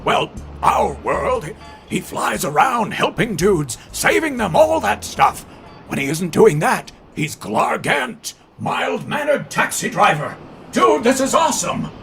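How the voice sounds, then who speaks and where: A goofy alien surfer dude in an audio story, gushing in stretched-out slang. The voice is extremely high and gravelly, swooping up and down. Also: male voice, very animated, slow pace, fairly bright tone, soft background music, full character voice. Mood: gushing, excited. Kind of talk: audio fiction character acting.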